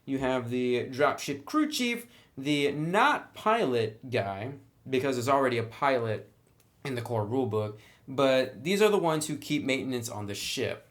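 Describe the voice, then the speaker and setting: Man, mid-pitched voice, casual review commentary, conversational, explanatory, dry wry asides. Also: expressive, a little slow. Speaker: tabletop game book reviewer.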